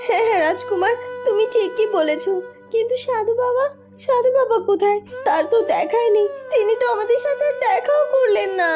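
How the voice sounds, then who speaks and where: A woman's voice: very high voice, lively intonation, a little fast, over music. A woman voicing a distressed, devoted young village girl in a cartoon, speaking in a pleading, plaintive way. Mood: anxious. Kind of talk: dramatic cartoon storytelling.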